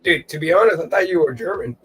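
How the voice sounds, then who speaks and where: A man from California with a slightly husky voice. He turns everything into a joke and laughs through his own lines, talking loosely and casually. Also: a little high, fast, a bit bright. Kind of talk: casual live-stream chat.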